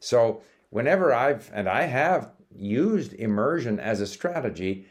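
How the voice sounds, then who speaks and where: An older man, born in Sweden and raised in Canada, hosts a language-learning video and explains things calmly, with small hesitations and restarts. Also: medium pitch, unhurried. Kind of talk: casual talking-to-camera monologue.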